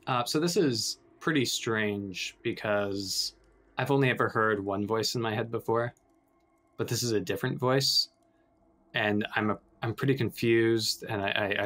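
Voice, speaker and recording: A man's voice: on the low side, steady tone, moderate pace, a bit bright. A man playing a tabletop game, role-playing casually and hesitantly, often repeating his words. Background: music.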